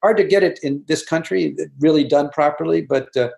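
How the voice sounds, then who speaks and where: A man, a guest director on a video call, talking casually, halting and repeating words with many fillers. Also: a little high, creaky, darkish tone, reverberant.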